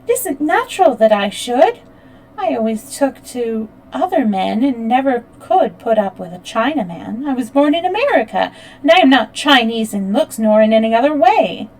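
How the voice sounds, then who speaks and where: A woman's voice: on the high side, big pitch swings, moderate pace, voice pushed up, low-quality recording. Resentful young bride, read by a woman. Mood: distressed, resentful. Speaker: audiobook reader. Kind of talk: read-aloud fiction dialogue.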